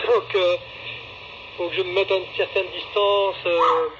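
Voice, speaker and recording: A fairly high-voiced man talks over a two-way radio in a casual, rambling way. The transmission is dull and clearly noisy, and he often hesitates as he goes. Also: level tone, measured pace, a bit bright. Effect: walkie-talkie radio. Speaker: amateur radio operator.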